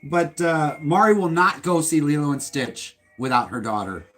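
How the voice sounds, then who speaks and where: A man's voice: on the high side, moderate pace, soft background music. A man co-hosting a live stream, chatting casually and joking with restarts. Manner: lively, joking, with stumbles. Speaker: co-host of a retro livestream.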